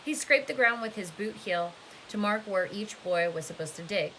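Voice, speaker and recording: Bright-voiced woman reading a story aloud. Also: medium pitch, animated, normal speed, poor audio. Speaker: read-aloud narrator. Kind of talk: expressive storybook narration. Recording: faint background hiss.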